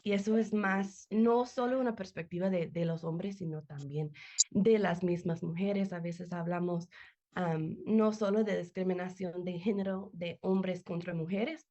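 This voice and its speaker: A woman from the United States who speaks English as her first language, answering interview questions carefully and pausing often to search for words. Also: lowish voice, steady tone, mellow, highs cut off. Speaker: interview guest, nonprofit director. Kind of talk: interview answers over a call. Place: home. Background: children playing.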